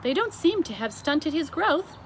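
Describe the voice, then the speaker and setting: A regal storybook Queen, put on by a woman reader, speaks in a raised pitch with dignified, commanding politeness. The recording has clear noise behind the voice. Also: big pitch swings, unhurried, full character voice. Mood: composed, slightly put-out. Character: regal, composed, hospitable adult Queen. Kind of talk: children's storybook reading.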